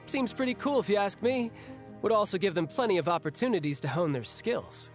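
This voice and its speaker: An actor voices a young adventurer in a story game, easygoing yet protective. The voice is mid-pitched and very animated, with casual, candid phrasing. Light music runs behind it. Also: a little fast, close to an everyday voice. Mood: friendly. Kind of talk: video game story dialogue.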